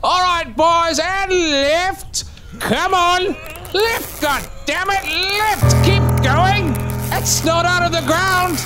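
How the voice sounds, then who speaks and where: A man's voice: extremely high pitch, drawn-out words, pushed and bright, soft background music, full character voice. An actor playing a crew boss who barks orders at his men. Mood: commanding. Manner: barking orders. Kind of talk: audio drama acting.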